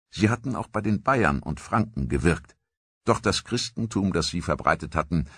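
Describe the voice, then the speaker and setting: A man narrating a history documentary in a measured, scripted storytelling manner. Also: low voice, fairly bright tone, no echo.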